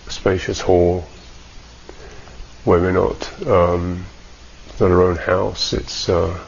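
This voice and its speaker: Deep gravelly guy leading a meditation, halting and unhurried, kinda muffled recording. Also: little pitch movement. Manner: slow, halting delivery with long pauses, measured. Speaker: meditation teacher. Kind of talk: guided meditation talk.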